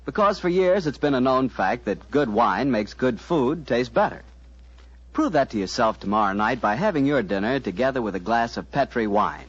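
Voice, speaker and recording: Male forties radio announcer, husky, very lively intonation, folksy pitchman delivery, muffled vintage recording. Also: moderate pace. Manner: folksy, smooth-talking salesmanship. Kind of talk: 1940s radio sponsor read, scripted.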